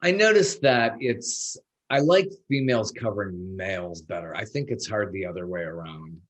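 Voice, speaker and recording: A man's voice: on the low side, expressive, normal speed. A man hosting an arts podcast, asking easygoing questions and giving brief affirming replies. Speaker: podcast host. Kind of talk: casual interview chat.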